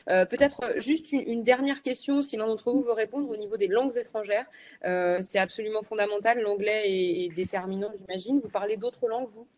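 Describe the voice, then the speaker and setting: A woman's voice: mid-range voice, fairly even intonation, fairly quick, darkish tone. A woman leads a panel discussion as moderator. She poses questions to the guests with a few hesitations.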